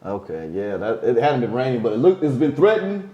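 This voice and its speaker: A man vlogger interviewing casually, with a relaxed, chatty manner and lots of quick "okay"s. His voice sits at a medium pitch, and the recording has a roomy echo around it. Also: muffled recording. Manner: relaxed, chatty, with many short acknowledgments.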